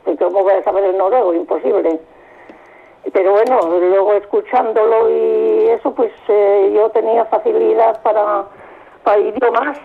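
A woman's voice: medium pitch, steady tone, normal speed, gravelly, slightly rough. An older woman from Álava in Spain, talking over the phone as a radio guest about her life abroad, plain-spoken and reflective, with many hesitations. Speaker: phone-in guest, emigrant in Norway. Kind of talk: casual radio interview. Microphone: telephone. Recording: tinny phone line.

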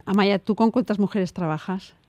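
A woman hosting a radio interview, speaking in a measured, formal way. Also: medium pitch, warm tone, dry recording, highs cut off. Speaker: radio host.

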